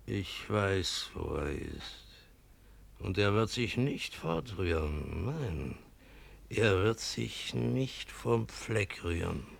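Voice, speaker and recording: Low, creaky, evasive colonial trader. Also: male voice, big pitch swings, drawn-out words, dry recording, exaggerated voice. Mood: mocking, stalling. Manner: theatrical, insistent, repeating himself. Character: evasive, cornered colonial trader stalling officers. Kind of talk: German radio drama acting.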